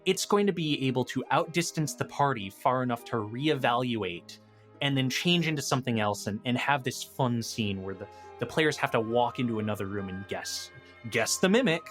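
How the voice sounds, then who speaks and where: A man with a lively, swooping delivery talks casually as a podcast guest. He thinks aloud and rambles into improvised stories, with music faintly behind him. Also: medium pitch, normal speed. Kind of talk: casual improvised talk about tabletop game monsters.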